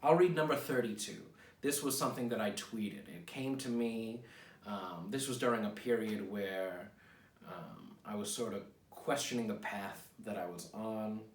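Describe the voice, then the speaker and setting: A man in his thirties chatting casually to camera as a writer, rambling in a halting, self-deprecating way. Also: fairly low pitch. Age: 30s. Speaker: writer promoting own poetry book. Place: small home office room, indoors. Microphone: camera a few feet away. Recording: roomy, distant from the mic.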